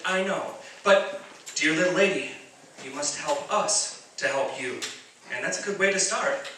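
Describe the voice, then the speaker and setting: A man's voice: a little high, unhurried, bright, forceful tone. A man playing a patient, kindly doctor in a radio play, coaxing and reassuring. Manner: soothing, coaxing. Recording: echoey hall from far off, faint noise.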